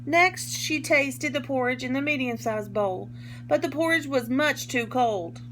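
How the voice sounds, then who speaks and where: A woman reading a picture book aloud to young children like a teacher, expressively, pausing to explain words in simple terms. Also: high-pitched, lively intonation, fairly bright tone, a little hiss or hum, poor audio.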